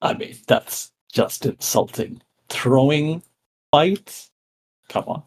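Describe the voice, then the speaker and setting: A man's voice: fairly high pitch, slow, darkish tone, pitched above the performer's own voice. A man playing a hot-tempered, proud raider gang leader, indignant and defiant, in a tabletop game. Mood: insulted.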